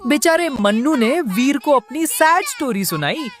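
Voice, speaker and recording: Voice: high voice, sing-song, a little slow. A narrator reading a children's cartoon story in a playful, theatrical style. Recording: music behind the voice.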